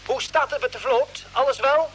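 An actor playing a formal mission control operator calling over space radio in clipped phrases, in old Dutch radio drama style. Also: male voice, extremely high pitch, normal speed, fairly bright tone. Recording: hissy vintage radio play recording.

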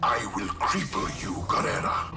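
An actor voicing a hulking, menacing, arrogant masked villain in a video game, making grandiose threats. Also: male voice, lowish voice, very animated, gravelly. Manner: slow, menacing, grandiose declarations. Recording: loud music behind, echoing sound.

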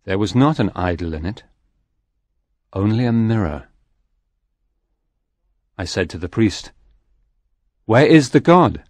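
A man voicing the soul of a young fisherman, a solemn spirit telling its tale in a slow, grave manner. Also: sits low, a little mellow, no echo. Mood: recounting. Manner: slow, grave storytelling delivery. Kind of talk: audiobook reading.

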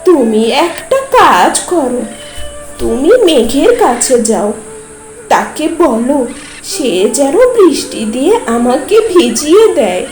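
A chuckling talking piece of wood from a storybook cartoon, played by a woman, a bit lower than her usual voice. It speaks slowly and is recorded as a roomy, echoey voice-over. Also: lively intonation, darkish tone, soft background music. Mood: amused.

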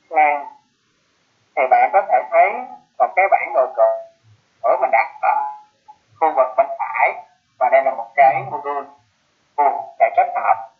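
A man giving a live technical presentation in an explanatory way, halting and pausing mid-phrase. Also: fairly high pitch. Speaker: webinar presenter. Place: online video conference. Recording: muffled phone-like web call audio.

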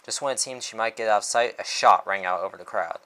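Noir detective narrator, male a man acting him out: low register, weary, short-tempered, dramatic storybook reading. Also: fast talker, bright, forceful tone. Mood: urgent.

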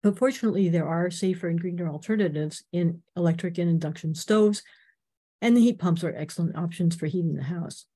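An older woman from Canada giving an earnest, informative slide talk on a video call, clearing her throat now and then. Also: on the low side, fairly quick, warm tone. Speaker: presenter.

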